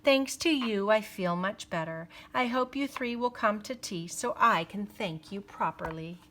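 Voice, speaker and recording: A woman's voice: medium pitch, animated, unhurried, a bit bright. A woman voicing a sensitive, polite male bull from a storybook in an earnest, courteous manner. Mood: grateful.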